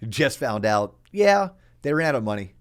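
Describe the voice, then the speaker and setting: Animated man hosting a podcast. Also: mid-range voice, normal speed, clear, resonant tone. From Florida. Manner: animated storyteller, acts out quoted people. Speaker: podcast host. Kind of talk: casual podcast chat.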